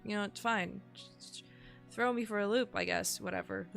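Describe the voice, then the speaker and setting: Woman streamer, under the weather and nasal, rambling and cursing casually, bright voice with some vocal fry. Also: medium pitch, fairly even intonation, moderate pace, soft background music. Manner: rambling, swears casually, self-deprecating. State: sick, nasal and sniffly. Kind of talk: casual live-stream chat.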